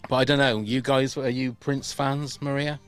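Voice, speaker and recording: A man hosts a casual podcast over a video call, chatty and self-deprecating. His voice sits at an average pitch, and faint music plays behind him. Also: flat delivery, normal speed, a bit bright, smooth. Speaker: podcast host.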